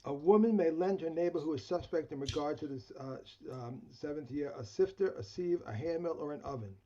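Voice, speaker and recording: A man's voice: a little high, brisk, warm tone, dull audio. A man who is losing his voice reading a text aloud in a study session over a video call. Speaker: study partner.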